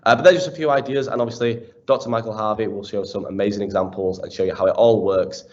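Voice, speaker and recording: A man with a somewhat low voice hosts a webinar and introduces the next speaker. He talks in an upbeat, chatty way with plenty of fillers. His voice comes through muffled call audio. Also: steady tone, quick pace. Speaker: webinar host. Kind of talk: casual introduction. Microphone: video call.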